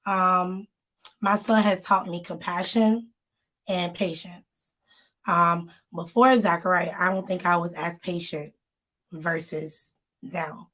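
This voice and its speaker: A woman co-hosting a casual live-stream chat, talking straight to her viewers, her voice slightly hoarse as it recovers. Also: lowish voice, steady tone, slow pace, a bit bright. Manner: chatty, addresses viewers directly. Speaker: magazine co-host.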